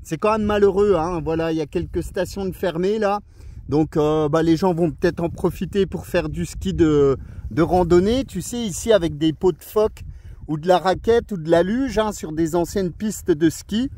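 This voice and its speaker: High-pitched Frenchman chatting on amateur radio. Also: moderate pace, mellow. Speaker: radio operator on a lunch break. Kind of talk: casual amateur radio chat. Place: outdoors in the mountains.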